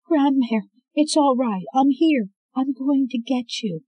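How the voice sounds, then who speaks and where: Casual: reader putting on a panicky teary young woman, way high and loud, husky edge. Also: slow pace, highs cut off, full character voice. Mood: desperate. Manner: urgent, frantic, tearful. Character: desperate young woman rescuing her grandmother.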